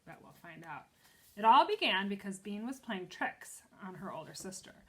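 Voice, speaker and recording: Woman, teacher, expressive storybook read-aloud, middle pitch, explanatory asides to young listeners. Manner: expressive, pauses to explain pictures and ask questions.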